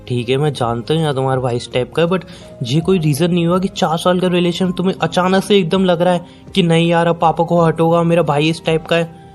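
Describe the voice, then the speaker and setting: A man's voice: sits high, lightly acted. A young man playing a hurt, incredulous boyfriend in an audio call drama, pressing and pleading in an exasperated way. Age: young adult. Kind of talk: acted phone-call drama in conversational Hindi with some English.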